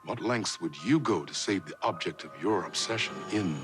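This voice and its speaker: An ominous TV anthology host in a game, his voice low and swinging dramatically. He introduces the tale with measured, theatrical portent over light music. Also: expressive. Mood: ominous, intrigued. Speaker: narrator introducing an episode. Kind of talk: vintage TV anthology narration.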